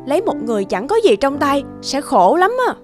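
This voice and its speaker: Materialistic chatty friend, cartoon ; female voice; high, lecturing, music bed. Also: very animated, a little fast. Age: young adult. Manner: talkative, lecturing and pushy. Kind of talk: animated story voice acting.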